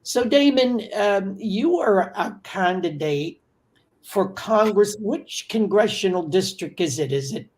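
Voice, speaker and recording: A man's voice: high-pitched, expressive, slow pace. An older man in his seventies hosting a casual interview over a video call, often repeating things to confirm them. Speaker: podcast host. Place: home study with bookshelves.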